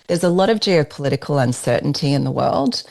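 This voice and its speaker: An Australian woman leading a business workshop, giving an emphatic, persuasive pitch while presenting slides. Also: animated, a little fast, vocal fry, a little mellow.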